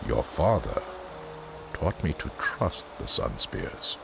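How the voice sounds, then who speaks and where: An actor playing a grave, principled male general in a fantasy game, gravelly, formal and deliberate. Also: a bass voice, huge pitch swings, background noise, full character voice. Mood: grave, respectful. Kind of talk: scripted fantasy game acting.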